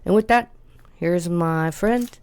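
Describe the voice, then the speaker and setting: Lady podcast host, kinda low voice, talks real slow and relaxed, dry close sound. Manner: slow, relaxed delivery with short encouraging replies. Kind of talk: casual interview.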